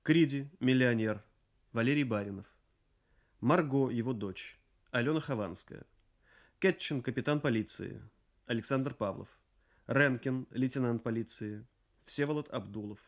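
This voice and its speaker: A man's voice: mid-range voice, moderate pace. A male radio announcer with a mid-range voice. He reads scripted programme credits in a formal style.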